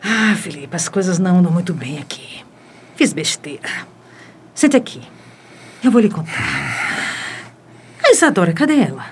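A woman's voice: on the low side, big pitch swings, moderate pace, long pauses, a little hiss or hum. A woman playing a troubled but determined schemer in a radio soap opera. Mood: uneasy.

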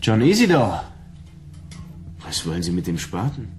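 A tired bounty hunter with a low voice, curt and matter-of-fact. He plays it as radio drama, with music underneath. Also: big pitch swings, normal speed. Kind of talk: German radio drama acting.

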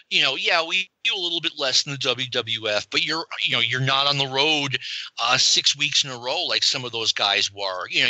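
A man co-hosting a wrestling podcast, telling opinionated stories in a casual, animated way. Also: mid-range voice, dry recording, low-quality recording. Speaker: podcast co-host.

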